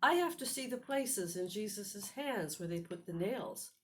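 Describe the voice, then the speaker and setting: A woman's voice: medium pitch, animated, a little slow, natural voice. Woman playing earnest doubting disciple man, insistent, emphatic, children's storybook reading, noisy recording. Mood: doubtful. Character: Thomas, earnest doubting male disciple, always questioning.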